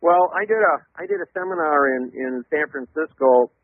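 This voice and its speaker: A man calling in as a radio guest, lecturing emphatically in a loud voice and spelling out words letter by letter. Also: fairly high pitch, moderate pace, no echo. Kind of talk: radio call-in interview. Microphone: telephone. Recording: tinny phone line.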